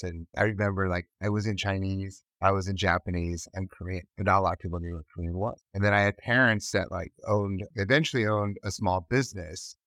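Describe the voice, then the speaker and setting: A man's voice: sits low, fairly bright tone, dry recording. A middle-aged man with a Californian American accent, co-hosting a podcast and telling personal stories conversationally. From Los Angeles area, United States. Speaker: podcast co-host.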